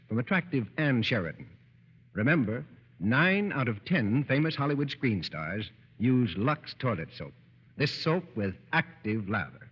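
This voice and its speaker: A man announcing a sales pitch in 1940s radio advertisement style. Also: slightly rough. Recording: muffled, reverberant old radio recording.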